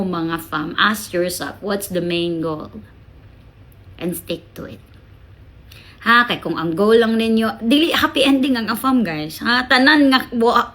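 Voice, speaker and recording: A woman's voice: fairly high pitch, fairly bright tone. Emphatic Filipina woman, frank and chatty. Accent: Filipino, Bisaya. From Philippines. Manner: emphatic, frank, rhetorical questions and tag words. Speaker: vlogger. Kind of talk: casual chat to camera, giving blunt advice.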